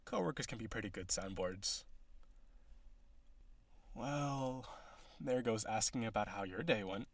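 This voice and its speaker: A man's voice: lowish voice, a little slow, long pauses, no echo. A man playing a cocky, flirtatious businessman villain on a date in an audio roleplay, with a sardonic, teasing, conversational delivery. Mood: flirty, teasing.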